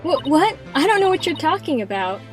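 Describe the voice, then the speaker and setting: A woman's voice: sits high, very animated, fairly quick. A woman playing a perky, feisty young rookie lawyer in a game fan dub, with an eager, instructive delivery. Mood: indignant. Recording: music under the voice. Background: music.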